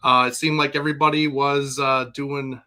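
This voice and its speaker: A man's voice: medium pitch, flat delivery, moderate pace, sharp tone. A man co-hosting a casual podcast, rambling with lots of fillers. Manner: rambling, filler-heavy, laid-back. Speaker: podcast co-host.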